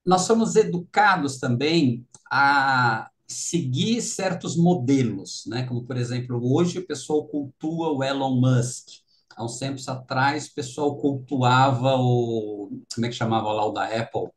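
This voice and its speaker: A man with a Brazilian Portuguese accent hosting a casual interview, expressive and teasingly insistent. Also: medium pitch, unhurried. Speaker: interview host.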